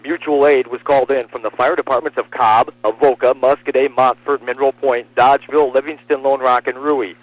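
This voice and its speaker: A man's voice: mid-range voice, steady tone, brisk, hardly any pauses, no room sound, rough recording. An American man reading scripted radio news as a reporter and anchor.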